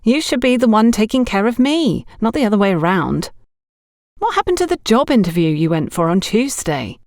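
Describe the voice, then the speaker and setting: A woman's voice: medium pitch, big pitch swings, moderate pace, a little mellow, dry recording, clean studio sound, pitched above the performer's own voice. A woman voicing an exasperated young saleswoman in an audiobook, complaining with dramatic indignation about a scrounging boyfriend. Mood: exasperated, indignant. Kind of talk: audiobook character dialogue.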